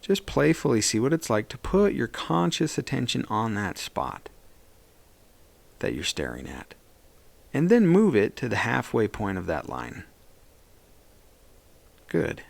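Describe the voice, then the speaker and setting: A man leading a guided meditation as a therapist, speaking in a gentle, soothing and reassuring way. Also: medium pitch, vocal fry.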